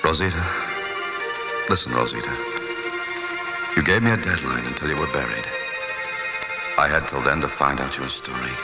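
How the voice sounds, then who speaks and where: An actor playing a dogged, cynical newspaper reporter with terse, world-weary delivery in 1940s radio noir style. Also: male voice, a bass voice. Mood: feverish, haunted. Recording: old muffled radio recording, music underneath.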